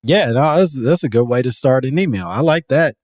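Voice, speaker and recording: A man's voice: mid-range voice, animated, a little fast, darkish tone, no echo, clean studio sound. A man hosting a podcast in casual comedic chat, riffing with a joking, profane manner. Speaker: podcast host.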